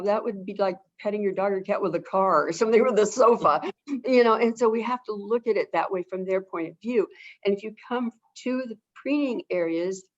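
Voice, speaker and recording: A woman who is an avian behavior expert, explaining things calmly and gently as a webinar guest and repeating phrases for emphasis. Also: mid-range voice. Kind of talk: casual explanatory talk. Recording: dull lo-fi webinar audio.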